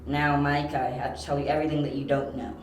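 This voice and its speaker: A man's voice: a little high, little pitch movement, quick pace, close to an everyday voice. A man playing a young, confident detective in an amateur audio drama, explaining things precisely. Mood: methodical. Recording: roomy amateur home recording.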